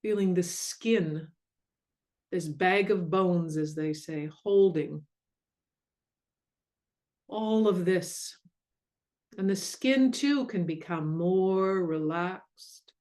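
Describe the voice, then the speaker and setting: Female meditation guide, lowish voice, very slow delivery, gentle and soothing with long pauses. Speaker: meditation guide and mindfulness coach.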